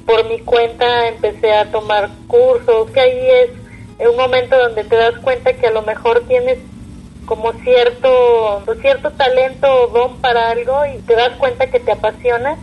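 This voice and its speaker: A woman with a Mexican accent talks casually as a guest in an interview. Her voice is on the higher side, and music plays faintly behind her. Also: little pitch movement, normal speed, lo-fi sound. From Puerto Vallarta, Mexico.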